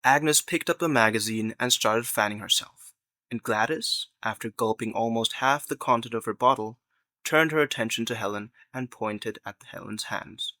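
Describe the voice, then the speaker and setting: Guy narrating a story, bright forceful voice, middle pitch, really dry recording. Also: moderate pace, no echo.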